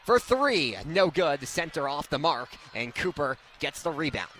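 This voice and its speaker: A young man calling live basketball play-by-play as a radio announcer, with an excitable delivery that swells on big plays. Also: a little high, normal speed, a little hiss or hum. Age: young adult. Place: courtside at indoor basketball game.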